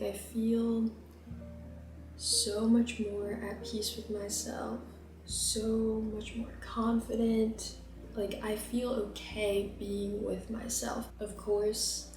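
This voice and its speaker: A young woman vlogging casually while she cooks, chatty and rambling, with plenty of fillers. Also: medium pitch. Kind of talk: casual chat while cooking. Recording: roomy kitchen, mic at a distance.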